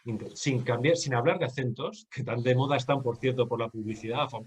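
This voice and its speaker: Spanish interviewer who keeps checking in. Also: male voice, mid-range voice, a little fast, breathy edge. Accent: Castilian Spanish from Guadalajara. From Spain, Guadalajara. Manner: affirming, tags questions with "¿vale?". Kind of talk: interview questions, conversational.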